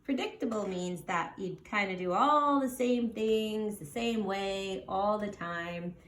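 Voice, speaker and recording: A woman reading a children's storybook aloud in an animated way, pausing to explain things simply to young listeners. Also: medium pitch, slight background noise. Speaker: storybook reader. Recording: roomy, echoing sound.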